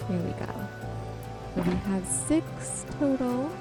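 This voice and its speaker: A woman gives gentle ASMR commentary as she plays a game. Her pace is slow and unhurried, with a soothing delivery in a medium register. Music plays clearly behind her voice. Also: mid-range voice, expressive. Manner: gentle, unhurried, soothing narration of own actions. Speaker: host of a gaming channel.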